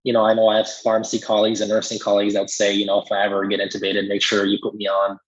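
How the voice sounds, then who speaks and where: A man's voice: fairly low pitch, completely flat delivery, rapid-fire, muffled recording. A man co-hosting a medical podcast, talking casually and agreeably as he explains points with everyday examples. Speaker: pharmacist and podcast co-host. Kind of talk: casual professional discussion.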